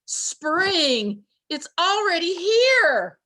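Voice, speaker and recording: A woman voicing a small, boastful male groundhog who gets excited and shouts, reading from a storybook. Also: on the high side, a little slow, low-quality recording. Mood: amazed. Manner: excited, shouting, voice getting hoarse.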